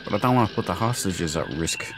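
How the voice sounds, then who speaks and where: A man's voice: low-pitched, expressive, fast, noisy background. A man doing casual gameplay commentary, informally thinking aloud as he hosts a let's play. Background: game music.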